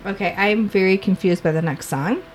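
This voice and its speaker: A woman's voice: medium pitch, a little fast. A woman from Illinois with a Chicago accent, co-hosting a casual podcast and rambling through giggly asides. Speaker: podcast co-host.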